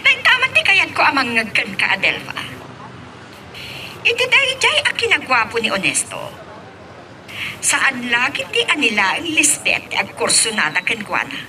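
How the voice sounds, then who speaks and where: A haughty boss's wife in a radio drama. Her high, piercing voice swings widely as she interrogates with sarcasm and suspicion, over a slightly noisy background. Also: huge pitch swings, moderate pace, sharp tone, full character voice. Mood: indignant, sarcastic. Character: haughty, prying boss's wife, Madam Margot. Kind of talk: Ilocano radio drama acting.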